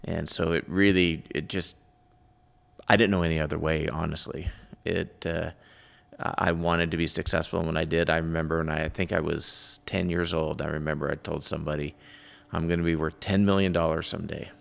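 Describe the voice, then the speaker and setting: Very gravelly, low-voiced middle-aged man. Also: little pitch movement, a little fast, long reverb tail. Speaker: entrepreneur, interview guest. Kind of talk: casual interview answers, personal recollection.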